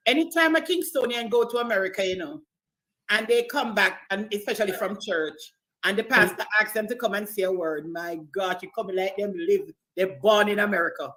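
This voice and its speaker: A woman's voice: medium pitch, fairly quick, a bit bright, rough recording. A woman with a Jamaican accent, animatedly telling stories in a casual group chat and mimicking accents.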